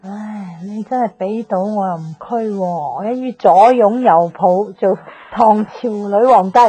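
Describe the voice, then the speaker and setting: A woman's voice: mid-range voice, unhurried, dark tone, muffled recording, natural voice. An actress playing a cheeky, teasing young best girlfriend in a radio drama, breezy and slangy, with a Hong Kong Cantonese accent. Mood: playful. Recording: faint music bed.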